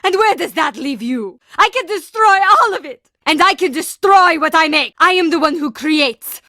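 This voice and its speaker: A woman voicing a proud, imperious creator in a video game, declaiming forcefully and defiantly. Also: very high-pitched, lively intonation, normal speed, dry recording, studio quality, heavily acted.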